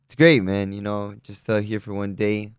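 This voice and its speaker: A man's voice: on the low side, normal speed, smooth, no echo, clean studio sound. A young man from Peru, a musician guest, chatting casually and upbeat with plenty of fillers. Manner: relaxed, upbeat, lots of fillers. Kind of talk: casual interview chat.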